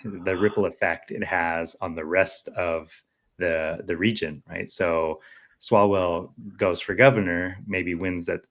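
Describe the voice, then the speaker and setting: Male podcast co-host, American, low register, conversational, reasoning out loud and tossing in tag questions. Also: steady tone, measured pace. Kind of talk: casual political discussion.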